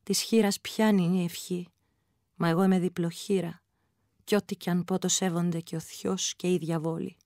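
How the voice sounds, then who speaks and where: A woman playing a defiant widow who curses in hushed, incantatory verse for a radio drama. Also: lowish voice, little pitch movement, dry recording, clean studio sound, natural voice. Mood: grim, cursing.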